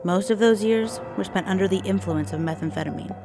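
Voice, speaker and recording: A woman reporter reading a scripted, measured voice-over narration. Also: medium pitch. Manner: measured, informative delivery. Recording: voice-over with music bed.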